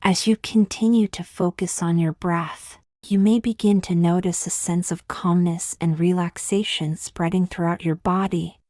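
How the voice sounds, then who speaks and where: A woman gently narrating a guided meditation in a soft, breathy, soothing voice, slowly and with long pauses. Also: lowish voice, fairly even intonation, dry recording, studio quality.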